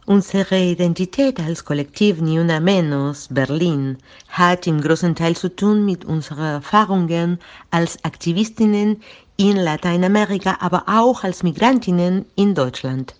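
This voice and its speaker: Low-voiced woman on dull, lo-fi recording. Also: moderate pace. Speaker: activist collective member. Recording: slightly dull, lo-fi sound.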